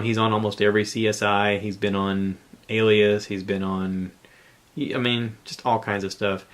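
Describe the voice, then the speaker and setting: Low-pitched man rambling on a podcast. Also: a bit bright. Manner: halting, conversational, thinking aloud. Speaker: podcast host. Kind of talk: casual solo podcast talk, rambling recommendations.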